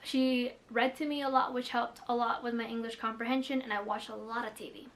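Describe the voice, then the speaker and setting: A woman's voice: fairly high pitch, fairly even intonation, brisk, a bit bright. A young woman from the Philippines whose first language is Tagalog, chatting casually to camera about books, with lots of "like" fillers and self-deprecating asides. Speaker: book vlogger.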